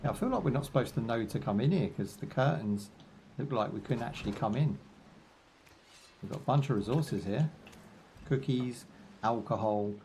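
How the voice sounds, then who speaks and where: A man's voice: medium pitch, moderate pace, pauses often, darkish tone, a little hiss or hum. Chatty British man streaming a game. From United Kingdom. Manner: chatty, rambling asides with jokes and fillers. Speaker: gaming streamer. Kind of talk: casual live gameplay commentary.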